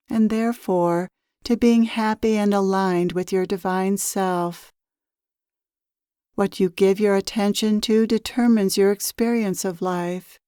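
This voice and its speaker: A woman leading a channeled guided meditation, speaking calmly and soothingly in measured phrases with long pauses. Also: medium pitch, clean tone, no echo, clean studio sound. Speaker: spiritual teacher leading a meditation.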